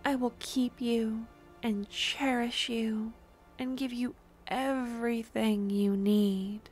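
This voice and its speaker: A woman voicing an obsessive, lovesick young necromancer stalker in an intimate ASMR roleplay, with a breathy, adoring, possessive murmur that often slips into whispers. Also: a little high, fairly even intonation, darkish tone, faint music behind. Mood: intense, pleading. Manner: adoring, possessive, halting dramatic delivery.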